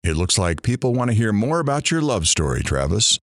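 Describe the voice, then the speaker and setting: An actor playing a chatty, showy radio talk show host in an audio drama. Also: male voice, fairly low pitch, sing-song, fairly quick, fairly bright tone, dry recording, clean studio sound. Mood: upbeat, showy.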